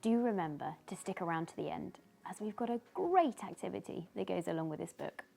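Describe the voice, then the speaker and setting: A woman reading a children's picture book aloud in an animated way, as if to young listeners. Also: fairly low pitch, brisk, gravelly, soft background music. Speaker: children's story reader, host.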